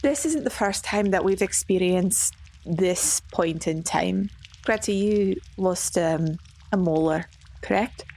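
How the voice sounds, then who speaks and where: A woman's voice: on the low side, slow pace, a little mellow. A woman playing a tabletop game, tentatively piecing thoughts together in improvised roleplay. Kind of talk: in-character improvised roleplay talk.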